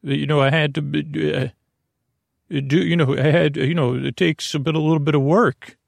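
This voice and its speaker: A man with a creaky voice hosting a sleep podcast, giving a soothing bedtime monologue that meanders and halts with pauses and repeated phrases. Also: fairly high pitch, fairly even intonation, no room sound. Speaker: podcast host.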